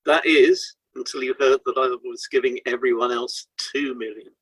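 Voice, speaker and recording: A man's voice: medium pitch, flat delivery, moderate pace, husky, no room sound, rough recording. A man reading a scripted educational piece aloud as a podcast co-host.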